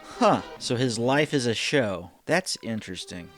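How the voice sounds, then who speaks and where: A man's voice: mid-range voice, animated, a little slow, over music. Man in his thirties, casual commentary. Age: 30s. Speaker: reaction video host. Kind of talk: casual commentary while watching a show.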